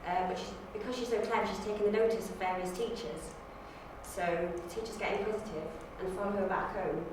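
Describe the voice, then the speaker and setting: A woman's voice: medium pitch, fairly even intonation, brisk. A woman talking casually and unscripted, in a hesitant, self-correcting way. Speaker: auditioning actor. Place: indoor university room. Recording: distant, very echoey room, faint noise.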